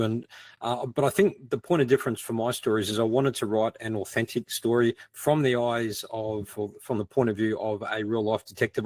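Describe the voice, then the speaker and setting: Middle-aged male, Australian accent. Podcast guest, conversational explainer, mid-pitched, dry studio sound. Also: dry recording. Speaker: podcast guest, ex-detective crime writer.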